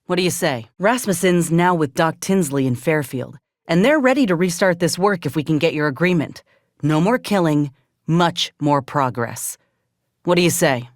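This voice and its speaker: A woman's voice: low voice, expressive, moderate pace, no echo, clean studio sound. An actress playing a determined, scrappy soldier woman in a video game, with blunt, folksy frontier speech. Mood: earnest, persuasive. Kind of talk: video game character dialogue.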